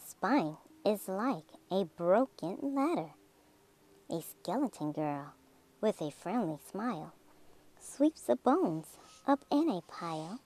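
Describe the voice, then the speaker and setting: A woman reading a rhyming children's picture book aloud in a dramatic, theatrical way, drawing out words and dropping into hushed phrases. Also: medium pitch, big pitch swings, slow, poor audio. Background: music.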